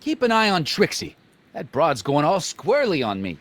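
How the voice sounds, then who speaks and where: A man's voice: a little high, huge pitch swings, moderate pace. An actor playing a brash, bullying American gangster boss in a video game, with sarcastic, domineering tough-guy talk and old-time slang. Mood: brusque, evasive.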